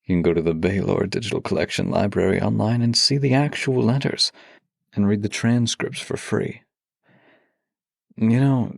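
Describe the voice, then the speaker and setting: A man's voice: on the low side, vocal fry, dry recording, studio quality. A man who works as a voice actor, hosting a scripted, intimate audio series with a theatrical, playful and flirtatious manner. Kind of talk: scripted, intimate introduction to listeners.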